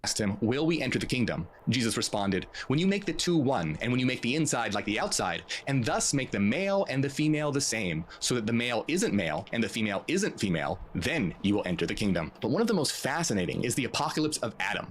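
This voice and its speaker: A man's voice: medium pitch, big pitch swings, fast talker, hardly any pauses, a little hiss or hum. A man presenting scripted explanatory narration, posing emphatic rhetorical questions. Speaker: video presenter. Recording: music bed under the voice. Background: background music.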